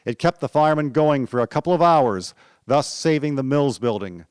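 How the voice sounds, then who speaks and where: A man narrating a historical radio drama in a measured storytelling manner. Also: medium pitch, lively intonation, a little mellow, clean tone, no echo, clean studio sound. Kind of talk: scripted documentary drama narration.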